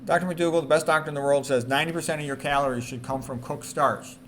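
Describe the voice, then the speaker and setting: A man's voice: fairly high pitch, steady tone, fast, fairly bright tone. Man reading and commenting, noisy recording. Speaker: presenter. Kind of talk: reading quotes aloud with informal commentary. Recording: noticeable background noise.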